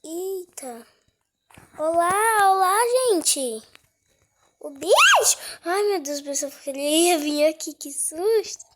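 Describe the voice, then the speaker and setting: A woman's voice: very high-pitched, lively intonation, takes it slowly, a bit bright, slight background noise. A woman with a cold, giving casual gameplay commentary; she is chatty and easily startled, and often drops to a whisper. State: has a cold. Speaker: gaming channel host.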